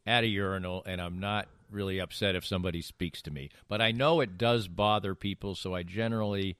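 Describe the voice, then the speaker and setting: A man's voice: sits low, fairly even intonation, a little fast, fairly bright tone, clean tone, no echo, studio quality. A middle-aged American man hosting a podcast, bantering casually and genially with a wry streak. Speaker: podcast host. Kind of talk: casual banter over beers.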